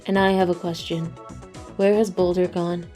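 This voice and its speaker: A woman voicing a deadpan, literal-minded female earth pony in a cartoon-style fan audio drama, speaking matter-of-factly. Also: on the low side, moderate pace, soft background music, close to an everyday voice. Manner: deadpan, flat, matter-of-fact.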